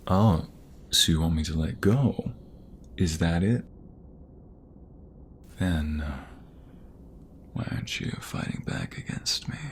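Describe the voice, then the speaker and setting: A man's voice: very deep voice, lively intonation, slow pace, long pauses, slight background noise, full character voice. A man playing a young romantic mobster, protective and teasing, in an intimate ASMR audio roleplay. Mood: tender, teasing.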